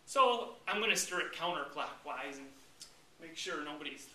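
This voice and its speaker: Humorous club speaker, American male, high-pitched, theatrical and mock-indignant; distant mic in an echoey room with faint noise. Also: animated, moderate pace, bright, forceful tone. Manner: theatrical mock-indignant storytelling, acts out his lines. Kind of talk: humorous tall-tale speech to a live audience.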